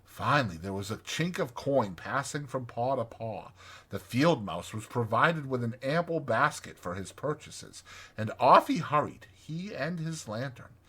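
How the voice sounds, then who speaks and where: A man narrating a children's classic audiobook in an expressive, scripted storytelling style. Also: medium pitch, measured pace, husky.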